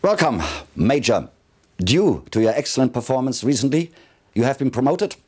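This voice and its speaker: An actor playing a formal military commander, giving measured, deliberate mission briefings in a video game cutscene. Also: male voice, mid-range voice, big pitch swings, background noise, close to an everyday voice. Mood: official, serious.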